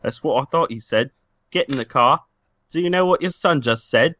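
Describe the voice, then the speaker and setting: A man's voice: medium pitch, normal speed, a bit bright, no room sound, dull audio, lightly acted. A man reading the part of an impatient father who barks short orders, in a comedic amateur table read. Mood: stern. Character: gruff, impatient father fixing a car tire.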